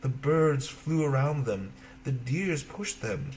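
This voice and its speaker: A man narrating a children's fairy tale as an expressive storyteller, in simple phrases. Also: mid-range voice, takes it slowly, faint music behind.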